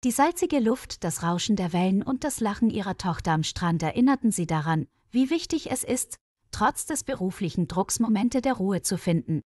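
A woman reading a scripted story aloud for language learners, with measured, clearly phrased delivery. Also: medium pitch, expressive, darkish tone, no room sound, clean studio sound. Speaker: narrator of graded German stories for learners.

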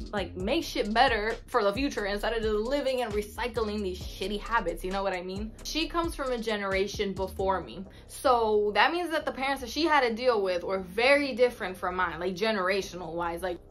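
A woman in her twenties, a native Spanish speaker, chatting casually to camera in an expressive, slangy way with Spanish words mixed in. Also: medium pitch. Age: 20s. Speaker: vlogger. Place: small bedroom indoors. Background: instrumental music.

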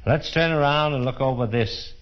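An American man speaking as a tour guide in a scripted 1930s radio presentation, formal, measured and declamatory. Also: mid-range voice. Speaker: fair official acting as tour guide. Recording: dull, muffled 1930s radio broadcast.

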